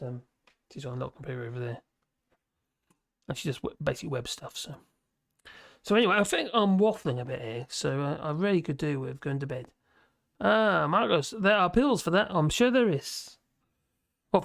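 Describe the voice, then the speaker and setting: Male, 50s, livestream host; rambling, self-mocking, gravelly fry, close dry sound. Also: a little high, no echo. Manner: chatty, chuckling, self-mocking asides. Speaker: livestream host reading chat. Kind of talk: casual rambling chat. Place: home office at his desk.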